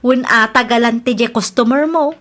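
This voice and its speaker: A woman's voice: fairly high pitch, moderate pace, fairly bright tone, close to an everyday voice. A woman with an Ilocano Filipino accent playing a brash, defiant young bar hostess in an audio drama. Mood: teasing, chatty. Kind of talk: radio-style audio drama acting.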